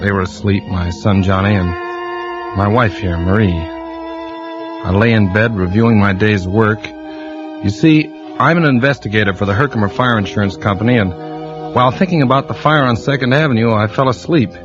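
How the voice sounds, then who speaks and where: An actor playing an uneasy male insurance investigator who narrates his own story in halting, nervous speech, in a 1940s radio drama. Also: lowish voice, natural voice. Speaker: narrator and lead character. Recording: lo-fi vintage radio broadcast with music.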